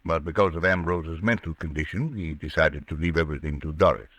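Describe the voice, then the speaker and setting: A man acting an assured, formal doctor in an old-time radio drama, speaking briskly. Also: a bass voice, steady tone, fairly bright tone, breathy edge, no echo, full character voice. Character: assured doctor, loyal friend of a troubled patient. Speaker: radio actor.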